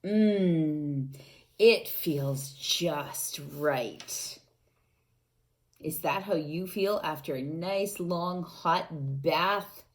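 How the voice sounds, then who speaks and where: A woman reading a picture book aloud to young children, gently asking them questions and adding playful sound effects. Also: low voice, very slow. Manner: gentle, coaxing, asks the young listeners questions.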